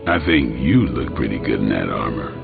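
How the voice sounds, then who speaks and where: Gruff, bashful demon hero for a game; extremely low, gravelly, muffled capture. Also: male voice, animated, moderate pace, music in the background, heavily acted. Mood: shy, smitten. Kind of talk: video game character dialogue.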